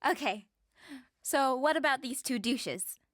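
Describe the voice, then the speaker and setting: A woman's voice: high voice, animated, unhurried, no room sound, studio quality. A woman playing a doting, dangerous ex-military woman who stalks the man she loves. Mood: eager. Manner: sweetly doting with a menacing edge. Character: obsessive ex-military female stalker, cheerful and dangerous.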